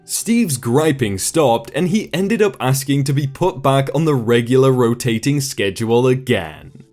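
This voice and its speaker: A man's voice: medium pitch, lively intonation, measured pace, a little mellow, faint music behind. A man reading online stories aloud in a scripted narration style, adding short comments between them. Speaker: narrator reading reddit posts.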